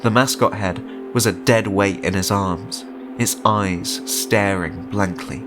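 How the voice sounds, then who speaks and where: A man's voice: lowish voice, takes it slowly, low-quality recording. A man reading a scripted horror story as narrator, in a dramatic storytelling style. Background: music.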